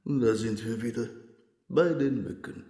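An actor playing a gloomy, absurd, vodka-drinking interrogator in a radio drama, deadpan and resigned. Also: male voice, medium pitch, normal speed, close to an everyday voice. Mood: dry, probing. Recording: roomy, reverberant studio drama scene.

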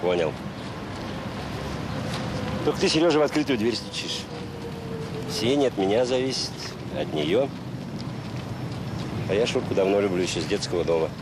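An actor playing an easygoing, regretful middle-aged man in a Soviet radio drama, frank and unhurried. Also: lively intonation, natural voice. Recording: very noisy old recording, engine-like rumble.

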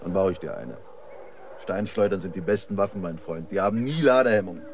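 A sardonic, animated street-survivor guide. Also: male voice, low-pitched, fairly quick, slight background noise. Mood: sardonic, unruffled. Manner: laconic, sardonic, knowing. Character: cynical, seasoned male guide, slingshot-proud street survivor. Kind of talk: German radio play acting.

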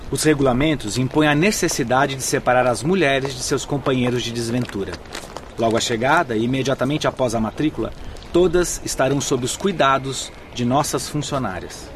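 A man's voice: medium pitch, brisk, slight background noise, close to an everyday voice. An actor playing a courteous, orderly male guide in a radio drama, speaking formal, authoritative Portuguese with a Brazilian accent. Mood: firm, explanatory. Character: courteous, orderly male instructor guiding spirit newcomers.

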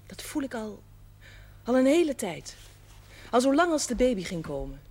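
An actress with a Dutch accent playing a wounded, insistent young wife and new mother in a period television drama, husky and earnest. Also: on the high side, sing-song, normal speed, darkish tone. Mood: pleading, hurt. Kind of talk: naturalistic television drama acting.

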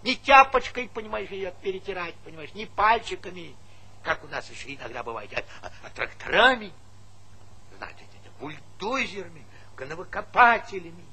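An actor playing an earnest old village man in a stage play, speaking in a folksy, halting way full of verbal tics. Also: high-pitched, very animated, bright, forceful tone, slightly rough, full character voice. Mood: fervent. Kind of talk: theatrical stage-play monologue. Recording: noisy vintage stage play recording.

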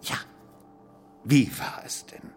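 An actor playing an insinuating police commissioner in a radio play, interrogating with theatrical, needling storytelling and pointed pauses. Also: male voice, darkish tone, faint music behind, lightly acted. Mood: insinuating, suspenseful. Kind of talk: German radio play acting.

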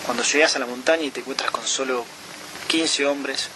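A man speaking reflectively in recollection, pausing between phrases. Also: medium pitch, fairly even intonation. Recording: clear ambient noise.

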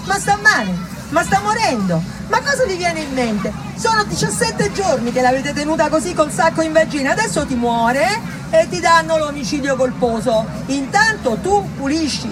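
A callous male doctor in a stage monologue, acted out by a woman, high-voiced and brusque. He is defensive and insistent, always covering himself, with crowd noise around the live performance. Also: very animated, moderate pace. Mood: alarmed, evasive. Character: callous, evasive male doctor, self-protecting and dismissive.

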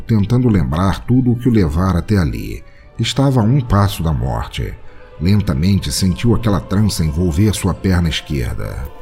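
Brazilian guy narrating a comedy audio drama, deep animated voice, theatrical, loud music behind.

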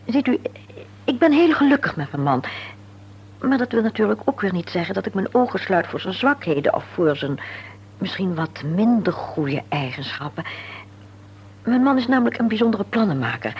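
An actress playing a composed, tactful middle-aged wife in a radio play, speaking formally and deliberately with polite insistence. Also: female voice, medium pitch, sing-song, husky. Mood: earnest, tactful. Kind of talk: Dutch radio play acting. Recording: dull, hissy old radio recording with hum.

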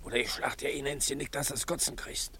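An actor playing a coarse, menacing male gangster in a radio play, talking gruff slang. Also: mid-range voice, steady tone, fast, sharp tone, a little hiss or hum, natural voice. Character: coarse, threatening male gangster disguised as an old lady. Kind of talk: German radio play acting.

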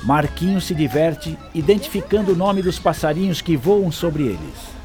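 Animated, higher-voiced Brazilian male narrator. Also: moderate pace. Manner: expressive storytelling. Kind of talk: scripted radio drama narration. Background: music.

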